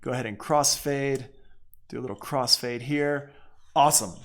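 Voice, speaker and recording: A man's voice: mid-range voice, normal speed. A man teaching music production in a casual, informal tutorial, thinking aloud as he works. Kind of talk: casual screen-share software tutorial.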